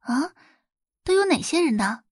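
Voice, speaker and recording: A female performer puts on a young heiress for an audio drama. Her pitch is lifted and very lively, in a dry recording. She is proud yet inquisitive, exclaiming and questioning. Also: measured pace, no echo. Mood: curious. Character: proud, curious young rich daughter.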